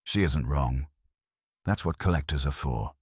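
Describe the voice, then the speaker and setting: A man's voice: a bass voice, measured pace, darkish tone, husky, no echo, clean studio sound, full character voice. A man voicing a vain, theatrical young schemer in a mystery audiobook, with clipped, arch, sardonic phrasing. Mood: smug, glib. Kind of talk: audiobook detective story dialogue.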